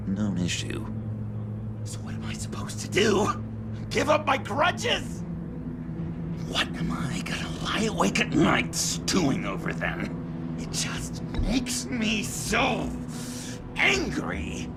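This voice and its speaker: A manic young clown vigilante from a video game, needy and unstable. His pitch lurches all over the place and the voice drags into creaky drawls, theatrical and eager to please. Loud music plays behind him. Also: slow, full character voice. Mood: agitated. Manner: theatrical, swinging from hushed mutters to excited outbursts.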